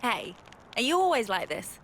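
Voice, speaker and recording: A woman with a British accent voicing a feisty, blunt young female soldier who is quick to take offence in a video game. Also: on the high side, big pitch swings, fairly bright tone, slight background noise. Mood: casual, probing. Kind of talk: scripted video game voice acting.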